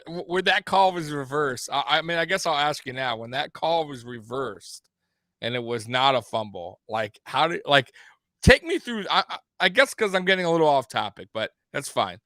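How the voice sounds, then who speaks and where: A man's voice: mid-range voice, pushed and bright, no room sound. A man in his forties from Miami hosting a casual sports talk show, rambling with many restarts. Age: 40s. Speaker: podcast host.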